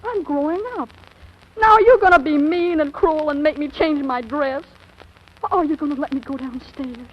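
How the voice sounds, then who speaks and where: A high, girlish voice acted by a woman for an impetuous teenager in love. She pleads with melodramatic force in old radio drama style. The recording is muffled and dated. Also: normal speed, close to an everyday voice. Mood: earnest, defiant. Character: impetuous, lovesick fifteen-year-old girl. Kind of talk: 1940s radio drama acting.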